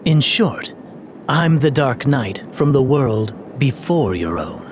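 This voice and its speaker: A man playing a somber, burdened young dark knight in a video game, speaking earnestly and hesitantly. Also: mid-range voice, sing-song, slow, noisy background. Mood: grave, pained. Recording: game music behind voice. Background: music.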